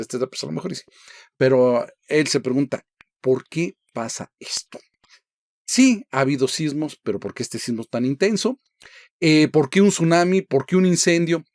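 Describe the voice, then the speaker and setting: A man's voice: mid-range voice, a little mellow, no room sound, studio quality. A man giving an informal lecture, asking rhetorical questions and checking in with his listeners. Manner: conversational, asks rhetorical questions, frequent "¿sí?" and "¿no?". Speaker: lecturer on construction history. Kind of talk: informal history lecture with slides.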